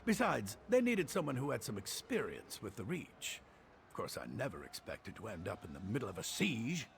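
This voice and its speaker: A man's voice: mid-range voice, wildly swooping pitch, normal speed, creaky, a little hiss or hum. A man voicing an anxious, bookish alchemist in a video game, earnest and scholarly but cowardly. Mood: reluctant.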